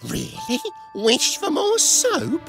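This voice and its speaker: Flustered young boy train, children's cartoon, expressive swings, deliberate pace, music behind. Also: sits high, creaky, exaggerated voice. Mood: delighted. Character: friendly boy steam engines, earnest and easily flustered.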